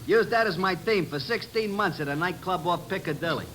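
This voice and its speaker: Radio play: cocky piano man, highish, very animated, full of showbiz brag. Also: fairly quick, a little hiss or hum. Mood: boastful, eager. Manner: brash, patter, name-dropping. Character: boastful, fast-talking cafe piano player. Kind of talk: old-time radio drama acting. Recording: vintage radio recording with music.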